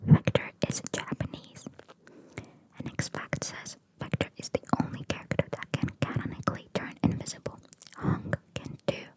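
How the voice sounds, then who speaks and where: A woman speaks entirely in a soft, breathy whisper in the manner of an ASMR host. She reads out short bits of trivia and drops in casual, opinionated asides. Her delivery stays chatty and playful while fully unvoiced. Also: normal speed, poor audio.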